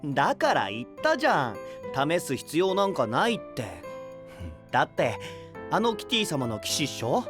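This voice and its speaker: Cheeky young knight, super swoopy delivery, slangy, anime drama, music behind. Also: male voice, on the high side, huge pitch swings, normal speed. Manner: casual, slangy, theatrical. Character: cocky, easygoing, playful young male knight. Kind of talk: anime-style voice drama acting.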